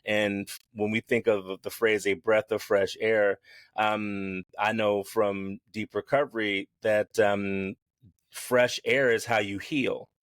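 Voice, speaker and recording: Male guest, low and dry voice, candid and easygoing, quick to laugh. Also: no echo. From United States. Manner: frank and lively, laughs often, plain-spoken. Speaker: podcast guest, yoga teacher and activist. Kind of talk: candid conversational interview.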